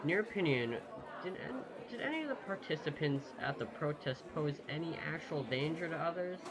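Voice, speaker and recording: A man's voice: a little high, lively intonation, fairly quick, crowd noise, rough recording. A man doing a game let's play, commenting casually and reading the on-screen dialogue aloud. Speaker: gaming YouTuber.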